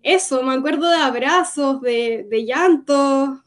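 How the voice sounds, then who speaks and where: A young woman from Gaza giving an earnest, reflective personal testimony in an interview, often pausing to recall. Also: high-pitched. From Gaza, Palestine.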